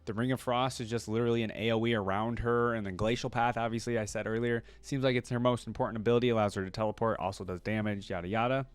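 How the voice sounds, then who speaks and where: A man giving casual reaction commentary as a video host, informal and rambling as he thinks out loud. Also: lowish voice, steady tone, quick pace, few pauses, clean tone, no room sound.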